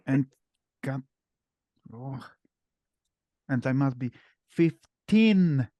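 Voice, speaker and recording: A man with a Polish accent hosting a relaxed group video call, talking haltingly with many fillers and restarts. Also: mid-range voice, dry recording, highs cut off. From Poland. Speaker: meetup host. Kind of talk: casual online group chat.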